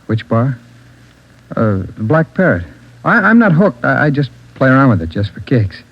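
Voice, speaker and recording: A man's voice: medium pitch, sing-song, normal speed, breathy edge. An actor playing a nervous male drug suspect under police questioning, stammering and evasive. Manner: stammering, evasive, repeating himself. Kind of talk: 1940s radio acting. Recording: muffled old radio broadcast.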